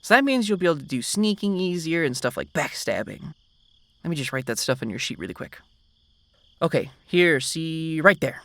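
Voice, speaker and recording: Soft-spoken man in close, dry ASMR. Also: a little high, animated, moderate pace, no echo. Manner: patient, explaining. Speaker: ASMR roleplay boyfriend.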